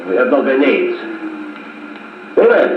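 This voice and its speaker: An actor playing a stern Eastern Bloc villain commanding an assault in a 1950s radio drama, speaking formally on an old wire recording. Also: male voice, medium pitch, very animated, moderate pace, natural voice. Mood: cold, commanding. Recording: tinny, echoey, noisy 1950s wire recording.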